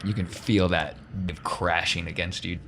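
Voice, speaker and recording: A man's voice: sits low, steady tone, normal speed, creaky, fairly bright tone, a little hiss or hum. A man narrating casually and jokingly as game master of a tabletop roleplaying game.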